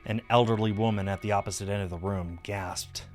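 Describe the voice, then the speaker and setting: A man's voice: on the low side, steady tone, a little fast, darkish tone, faint music behind. A man reading a visual novel aloud on a stream as scripted story narration.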